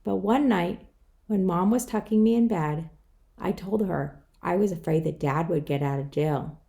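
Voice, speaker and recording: Low mellow woman reading a children's story. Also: moderate pace, gravelly. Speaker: author reading own book aloud. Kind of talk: scripted children's story read-aloud.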